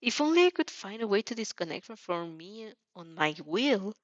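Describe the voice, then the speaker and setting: A woman's voice: mid-range voice, sing-song, normal speed, dry recording, highs cut off, close to an everyday voice. Woman playing a mumbling, bashful little girl. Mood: pensive. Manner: mumbling, awkward and earnest. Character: bashful, rambling, bright six-year-old girl.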